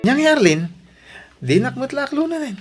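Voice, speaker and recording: A man's voice: extremely high pitch, very animated, moderate pace, over music. A man with an Ilocano Filipino accent playing an impatient young suitor in an audio drama, insistent and pressing. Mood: insistent wooing. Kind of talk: radio drama acting.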